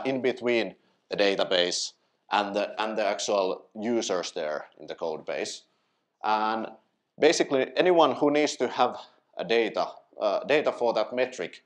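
A man in his thirties from Finland speaks at a tech meetup, his voice sitting a little low. He explains step by step with frequent fillers and self-corrections. He is picked up by a headset mic in a small event room. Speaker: conference speaker.

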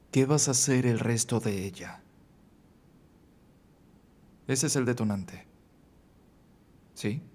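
A man's voice: mid-range voice, a little mellow. Earnest middle-aged man, motivational voice-over. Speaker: motivational speaker.

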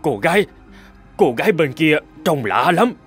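Give this cartoon husband a middle-aged, easily alarmed character. He is curious and exclamatory, often muttering to himself. His pitch moves in lively swings over soft background music. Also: a little slow, breathy edge, exaggerated voice. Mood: surprised, puzzled. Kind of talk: animated cartoon voice acting.

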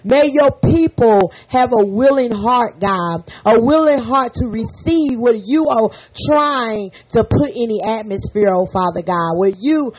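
A hoarse woman hosting a faith podcast, leading a fervent spoken prayer and an encouraging sermon full of repeated amens. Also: fairly high pitch, measured pace, few pauses, darkish tone, low-quality recording. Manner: fervent, repetitive, peppered with amen. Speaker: podcast host and prayer leader.

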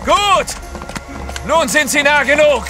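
An actor playing a bold Russian courier in disguise, decisive and commanding, in an audio drama. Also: male voice, very high-pitched, animated, takes it slowly, full character voice. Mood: urgent. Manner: decisive, commanding, sure of himself. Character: bold, resolute Russian courier posing as merchant guide. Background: dramatic music.

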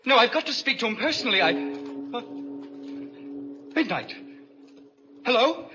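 A man's voice: very high voice, very animated, unhurried, music in the background, full character voice. An actor playing a doomed, desperate man on the run in 1940s radio suspense style. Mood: frantic. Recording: muffled, very noisy 1940s radio recording.

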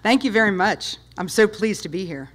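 Loud enthusiastic woman lecturing on space. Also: medium pitch, expressive, normal speed. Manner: loud, enthusiastic, emphatic rhetorical questions. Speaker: guest speaker, space history presenter. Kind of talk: public talk with slides. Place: dark lecture hall with projector screen.

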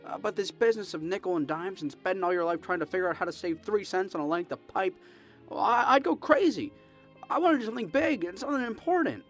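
An actor playing an eager, restless young small-town man in a radio drama, earnest and halting. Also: sits high, animated, a bit bright, soft background music. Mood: earnest, frustrated. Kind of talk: old-time radio drama acting.

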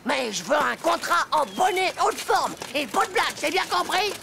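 A woman's voice: high-pitched, sing-song, moderate pace, gravelly, full character voice. A woman voicing a cocky, slangy cartoon schoolboy who boasts with swagger and gets easily flustered. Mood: bossy, puzzled. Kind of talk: animated cartoon dubbing. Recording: loud music under the voice.

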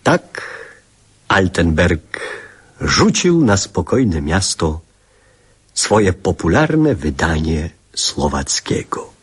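A reflective older writer narrating a literary radio drama. His low voice is very husky, rising and falling widely as he lyrically recalls his youth. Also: very animated, extremely slow, heavily acted. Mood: nostalgic, vivid.

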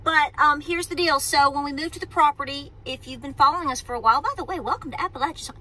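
Lady vlogging from her parked car into her phone, high voice, rambly and chatty. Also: fast, bright, forceful tone, rough recording. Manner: chatty, rambling, self-interrupting. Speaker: homestead vlogger. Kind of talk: casual talk to camera. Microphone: phone camera at arm's length.

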